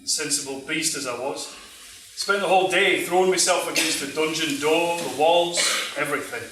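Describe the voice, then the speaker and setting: A man's voice: on the high side, moderate pace, fairly bright tone, lightly acted. A man on stage in an amateur play, acting a cheerful, boastful young prince with a jaunty, joking manner. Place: theatre stage, live performance. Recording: echoey stage from far off, slight noise.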